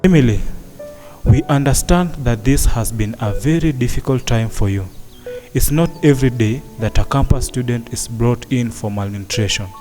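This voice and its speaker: A man playing a patient, concerned hospital doctor in a radio drama, speaking in a measured, reassuring way. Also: mid-range voice, music in the background, lightly acted.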